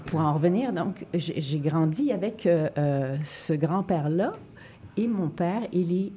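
A woman's voice: lowish voice, animated, unhurried, mellow, a little hiss or hum. A woman from Quebec, a poet guest in a radio interview, talking hesitantly with many fillers and restarts. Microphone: studio microphone.

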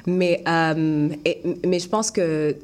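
A woman's voice: low-pitched, takes it slowly, darkish tone. A young woman, an actress and playwright, speaking French without a Québécois accent, talking thoughtfully in an interview with frequent restarts and fillers. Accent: non-Québécois French. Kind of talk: casual interview chat.

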